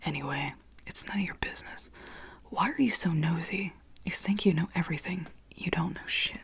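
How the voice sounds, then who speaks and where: A sullen man lashes back at a friend in a hushed, breathy whisper, pitched low. A woman voices him out as she reads. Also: normal speed.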